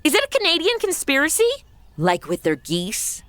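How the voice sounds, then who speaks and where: Lady playing a sassy gal, high voice, super animated, sarcastic quips, comedy audio drama. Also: moderate pace, dry recording, exaggerated voice. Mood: wry. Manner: sarcastic, wisecracking. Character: sassy modern American woman among medieval outlaws.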